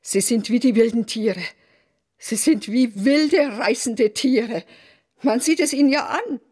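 A woman's voice: fairly high pitch, a little slow, darkish tone. An actress playing an indignant, gossiping townswoman with a scornful, aggrieved manner in a radio drama.

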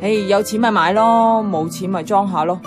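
A woman's voice: mid-range voice, normal speed, over music, lightly acted. An actress playing a nostalgic, adventurous young woman in a radio drama, chatting with a Hong Kong Cantonese accent. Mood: fond reminiscing. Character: nostalgic, playful, adventurous young woman revisiting her childhood neighbourhood.

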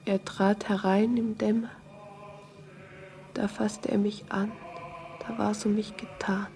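An actress playing a grief-stricken, numbed young bride in a poetic radio drama, speaking frailly and often dropping into a breathy whisper. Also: female voice, medium pitch, little pitch movement, slow, mellow.

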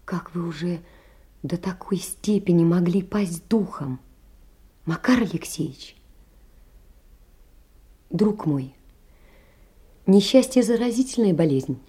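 An actress playing a poor, tender young woman in an audio play, earnestly and sorrowfully reproaching in measured literary phrases. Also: lowish voice, lively intonation, warm tone, slightly rough, heavily acted. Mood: sorrowful, pained. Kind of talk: theatrical audio play, reading a letter aloud.

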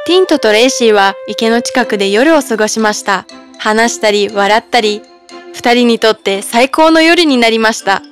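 A woman narrates a scripted fairy tale for children. Her voice is on the high side. Music plays clearly behind her. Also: expressive, normal speed.

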